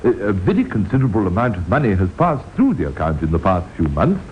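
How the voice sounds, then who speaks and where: A grave, deferential male official in an old radio crime drama, formal and a little hesitant. His pitch swings widely through a narrow, telephone-like recording with faint noise. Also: very animated, quick pace. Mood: grave, concerned. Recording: thin, noisy vintage radio recording.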